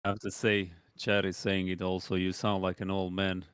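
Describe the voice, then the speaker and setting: European guy, lowish voice, dry teasing, dead dry mic. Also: monotone, normal speed, fairly bright tone, smooth, no room sound. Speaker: chat participant. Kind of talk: casual live stream chat.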